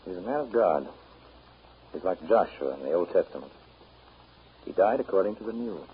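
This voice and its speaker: A man's voice: sits low, lively intonation, fairly quick, a little hiss or hum. An actor playing an earnest, wry American man with an American accent, explaining history reflectively in a 1930s radio drama. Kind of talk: 1930s radio drama acting, conversational storytelling. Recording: dull old 1930s radio recording.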